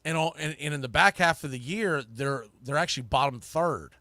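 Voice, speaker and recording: A man's voice: a little high, fairly quick, sharp tone, no echo. A man hosting a sports podcast, giving emphatic, opinionated analysis and repeating phrases for stress. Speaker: sports podcast host, play-by-play broadcaster.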